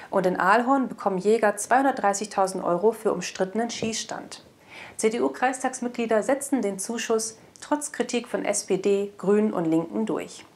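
Young woman reading local news, studio lapel mic, medium pitch. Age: young adult. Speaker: news presenter. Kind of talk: scripted news presentation. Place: indoor studio.

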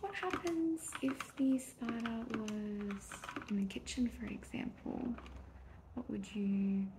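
A woman doing a soft-spoken ASMR therapist roleplay, gentle and reassuring, often slipping into a whisper. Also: on the high side, slow. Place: small indoor room at a desk. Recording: soft background noise, keyboard clicks. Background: computer keyboard typing.

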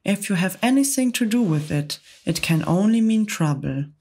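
A woman's voice: mid-range voice, lively intonation, moderate pace, dark tone, husky, pitched above the performer's own voice. A woman voicing a gloomy, pessimistic male earthworm who mutters his complaints. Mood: grumbling.

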